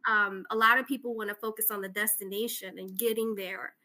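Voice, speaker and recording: Bright punchy woman from new jersey, english second language, casual interview guest. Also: medium pitch, steady tone, brisk. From Camden, New Jersey, USA. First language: not English, English is second language. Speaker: interview guest, author and social worker. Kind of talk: reflective casual interview talk.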